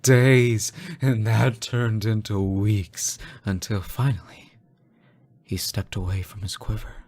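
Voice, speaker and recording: A man playing an obsessive, lovesick fallen angel in a dramatic audio roleplay, theatrical and halting, bitter and unhinged. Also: on the low side, level tone. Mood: hushed, devoted. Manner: theatrical, halting, sighing, swinging from hushed to frantic. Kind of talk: dramatic audio roleplay monologue addressed to a lover.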